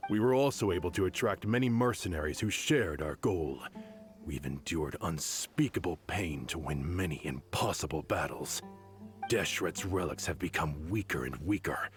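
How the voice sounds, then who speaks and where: A hardened mercenary in a video game. His voice is deep, and he speaks with bitter, earnest loyalty and firm emphasis.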